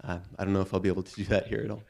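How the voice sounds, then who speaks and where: Hesitant, lower-pitched young man. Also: little pitch movement, racing, vocal fry, a little mellow, a little hiss or hum. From New York. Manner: hesitant, filler-heavy. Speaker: new graduate student.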